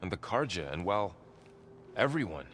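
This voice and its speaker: Deep-voiced, gentle warrior companion. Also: male voice, sing-song, normal speed, slight background noise. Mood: thoughtful, hopeful. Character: gentle, hopeful, loyal young male warrior companion. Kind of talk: naturalistic cinematic video game acting. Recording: game dialogue over music.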